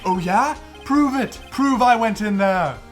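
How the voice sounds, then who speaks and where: Man doing a jittery, high-pitched shifty witness. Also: animated, measured pace. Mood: defiant. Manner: stammering, defensive, agitated. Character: shifty, jittery male witness, quick to anger. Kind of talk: video game fan dub. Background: game music.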